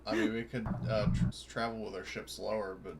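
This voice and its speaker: A man plays a tabletop game and chats casually. His voice is low and full of fry, and he talks quickly. Clear noise sits behind him. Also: level tone. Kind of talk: casual group game chat. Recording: noticeable background noise.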